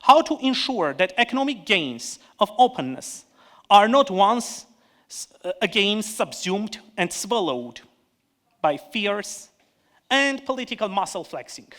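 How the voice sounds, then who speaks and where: A man with an Armenian accent delivers a prepared conference speech as a panel speaker. His voice sits high and sounds bright and forceful. He speaks in an earnest, measured way and now and then stops to restart a word. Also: big pitch swings.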